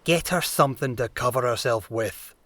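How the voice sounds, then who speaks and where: Pirate captain for historical audio drama, male actor: gruff, booming, authoritative, measured, looking out for his passengers. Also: fairly bright tone, lightly acted. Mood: stern, cautioning. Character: bearded older sea captain, booming, gruff but protective.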